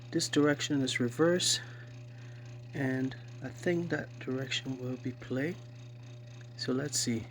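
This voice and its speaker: A man's voice: medium pitch, slight background noise. A man casually narrating a hands-on demonstration and repair of vintage gear, thinking aloud as he explains. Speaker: vintage tech presenter.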